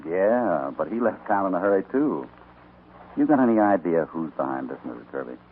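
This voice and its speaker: Deep-voiced, shrewd radio cowboy hero. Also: male voice, sing-song. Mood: calm, probing. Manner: unhurried, probing, dryly understated. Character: shrewd, calm cowboy hero investigating a killing. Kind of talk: 1950s radio western acting. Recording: tinny, noisy old radio transcription.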